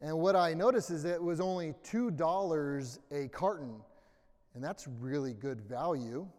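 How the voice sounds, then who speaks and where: A man's voice: unhurried, a little mellow. A middle-aged American man giving a conversational sermon with personal anecdotes and an informal, self-deprecating manner. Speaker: preacher. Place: large indoor church room, amplified. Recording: boomy echoing hall, long reverb.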